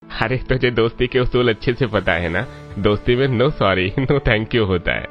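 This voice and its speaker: A man's voice: on the low side, a little slow, natural voice. A man voicing a cheerful, generous young office friend with a friendly, easygoing way of talking. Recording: dull lo-fi with faint music.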